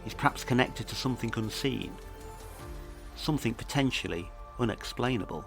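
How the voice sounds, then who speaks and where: A man's voice: mid-range voice, normal speed, vocal fry. A man presenting a scripted investigative mystery podcast, narrating in a serious, ominous manner. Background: faint music.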